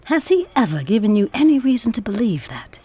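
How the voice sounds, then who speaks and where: A woman's voice: fairly high pitch, sing-song, fairly quick, dark tone, a little hiss or hum. A woman acting a troubled, earnest young daughter with strong principles in an audio drama. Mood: concerned, firm.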